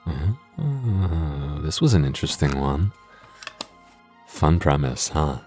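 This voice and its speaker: A man playing a coy, flirtatious masked host who addresses the listener in playful ASMR roleplay. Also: a bass voice.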